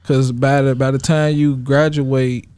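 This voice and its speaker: A man's voice: mid-range voice, flat delivery, measured pace, darkish tone, smooth, no echo. A man co-hosting a radio podcast, asking the guest questions in a laid-back, slangy way. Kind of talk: casual interview questions.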